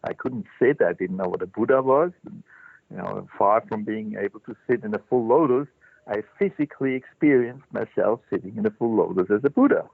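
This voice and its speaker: An older man, a Zen teacher telling his life story as an interview guest, halting and thoughtful with many restarts. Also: lowish voice, creaky, no room sound. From Switzerland. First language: German. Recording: dry, tinny phone-line sound.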